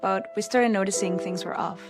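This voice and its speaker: A woman's voice: medium pitch, steady tone, a little fast, natural voice. A woman playing a concerned, caring adult daughter in a scripted testimonial ad. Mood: gentle, earnest. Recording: piano music behind voice.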